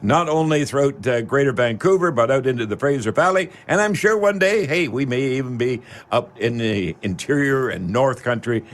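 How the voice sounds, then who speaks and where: Male radio host, Canadian, folksy and rambling, mid-pitched voice, pitching local news and causes to listeners. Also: expressive, moderate pace, few pauses. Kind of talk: live local radio talk with folksy promotional patter.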